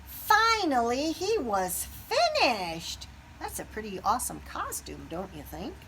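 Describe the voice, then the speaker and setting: A woman reading a children's picture book aloud expressively, pausing to put questions to young listeners. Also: on the high side, huge pitch swings, fairly bright tone, slight background noise.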